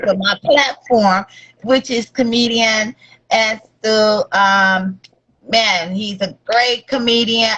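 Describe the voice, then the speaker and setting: A woman's voice: on the high side, fairly bright tone. A woman hosting a podcast, asking chatty questions in a casual interview and reacting often with "wow". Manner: chatty, asks questions with "wow" and "you know". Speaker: podcast host. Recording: dull lo-fi audio.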